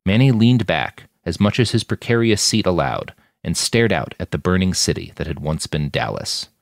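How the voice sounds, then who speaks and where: Very deep-voiced man narrating fiction. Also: lively intonation, normal speed, gravelly, no room sound, studio quality. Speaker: author narrating own fiction podcast. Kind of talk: audiobook-style fiction narration.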